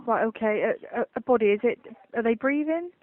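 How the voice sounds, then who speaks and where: A woman's voice: a little high, fairly quick. A woman handling an emergency call, speaking unscripted and repeating words as she goes. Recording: muffled noisy emergency phone line.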